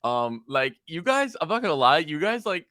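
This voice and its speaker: A man's voice: a little high, moderate pace, no room sound, clean studio sound. A man co-hosting a music review stream, chatting casually with lots of fillers. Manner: chatty, many fillers, excitable.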